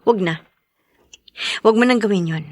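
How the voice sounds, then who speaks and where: A woman's voice: medium pitch, measured pace, no echo, studio quality, natural voice. An actress playing a resentful, scheming young mother in a radio drama, with a Filipino accent.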